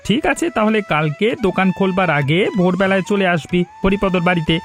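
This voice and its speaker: An actor voicing a stern older village uncle in a cartoon, scolding and rallying people in a quick, forceful way. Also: male voice, high-pitched, faint music behind, close to an everyday voice. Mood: determined. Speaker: village elder. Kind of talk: cartoon voice acting.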